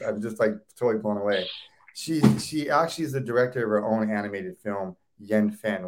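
A man hosting a casual interview, enthusiastic and often repeating or restarting words. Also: fairly low pitch. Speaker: host.

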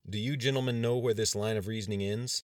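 A man reading the part of a grave, defiant male governor in an audiobook, measured and rhetorical. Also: lowish voice, steady tone, no echo, studio quality, voice pushed up, close to an everyday voice. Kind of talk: dramatized audiobook dialogue.

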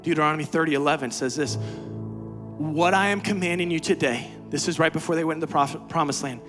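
A man preaching a sermon as pastor, swinging from quiet, low talk to loud, high-pitched exhortation. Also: quick pace, over music. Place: church sanctuary.

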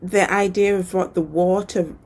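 A woman's voice: lowish voice, fairly even intonation, moderate pace, a little mellow. Chatty enthusiastic woman host. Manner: enthusiastic, chatty, many likes and fillers. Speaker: podcast host. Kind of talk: casual interview chat.

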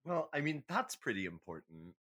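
A man's voice: mid-range voice, sing-song, normal speed, fairly bright tone, slightly rough. A man co-hosting a podcast in casual banter, dryly humorous and playfully dramatic in how he tells things. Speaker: podcast co-host.

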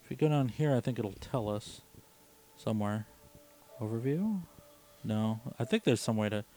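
A man's voice: medium pitch, lively intonation, normal speed, warm tone, slight background noise. A man co-hosting a podcast, chatting casually over a video game with teasing, sweary banter. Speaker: podcast host. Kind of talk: casual chat while gaming.

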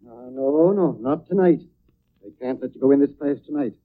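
An actor playing a nervous, superstitious old stage-door watchman, speaking in a shaky, halting way in a radio drama. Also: mid-range voice, natural voice. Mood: frightened, agitated. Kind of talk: 1940s radio acting. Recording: tinny, narrow old radio recording.

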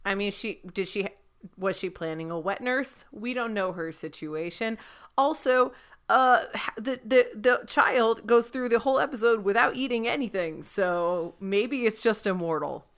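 A woman hosting a podcast, chatting casually about an episode, her voice a bit deep after an illness. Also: measured pace, a bit bright. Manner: chatty, restarts, laughs while recapping. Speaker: podcast host. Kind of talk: casual chat.

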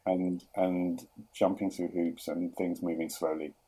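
A middle-aged man with a London accent, talking through his career in a podcast interview, often pausing with fillers and restarting sentences. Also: low-pitched, little pitch movement, warm tone, slightly rough, rough recording. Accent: London English. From England. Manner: hesitant, many fillers and restarts. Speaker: guest, product executive.

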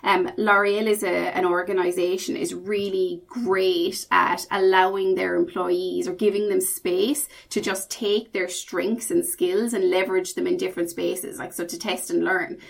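A woman's voice: mid-range voice, gravelly. A woman from Ireland with a strong Irish accent, speaking as a guest on a podcast in an upbeat, articulate way. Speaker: podcast guest, learning manager. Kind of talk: casual podcast interview.

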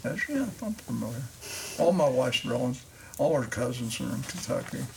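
Older male podcast host, gravelly voice, halting and rambling, faint hiss behind. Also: medium pitch, very animated, rough recording. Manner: halting, many restarts and fillers. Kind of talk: casual interview.

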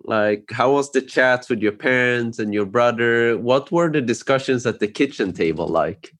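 A man's voice: mid-range voice, normal speed, fairly bright tone. A man from Sweden hosting an interview, asking curious, rambling questions peppered with "like" and "you know". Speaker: podcast host. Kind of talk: casual interview chat.

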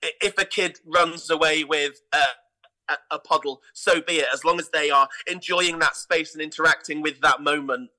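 A man's voice: a little high, fairly even intonation, normal speed, sharp tone, low-quality recording. A man chatting casually as an interview guest, talking in an enthusiastic, effusive way about his work as a theatre director.

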